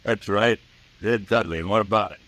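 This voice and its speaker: An American actor playing a rowdy, boastful trail boss in a radio western, hollering and swaggering. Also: male voice, lowish voice, brisk, fairly bright tone, lightly acted. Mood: boastful, taunting. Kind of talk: 1950s radio western acting. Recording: noisy old radio recording, off mic.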